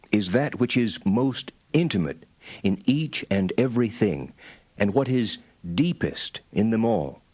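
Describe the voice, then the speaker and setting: Slow, solemn medieval philosopher. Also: male voice, lowish voice, a little mellow, no room sound. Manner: solemn, measured, declamatory. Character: solemn male medieval philosopher-theologian expounding his doctrine. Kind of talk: dramatized reading of philosophical writings.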